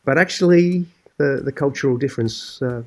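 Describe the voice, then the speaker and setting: A man hosting a podcast who asks relaxed questions and offers brief agreements. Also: mid-range voice, normal speed. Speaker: podcast host. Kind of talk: casual interview chat.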